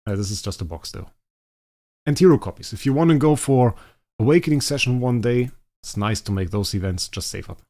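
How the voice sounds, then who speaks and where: A young man, a native German speaker, casually thinking aloud as he streams a game, his sentences often trailing off quietly. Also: medium pitch, lively intonation, a little fast. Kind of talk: casual livestream commentary.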